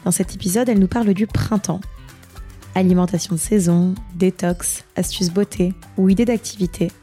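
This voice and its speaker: A woman's voice: fairly low pitch, steady tone, normal speed, mellow, slightly rough, faint music behind. A woman hosting a podcast, introducing and interviewing a guest. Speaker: podcast host.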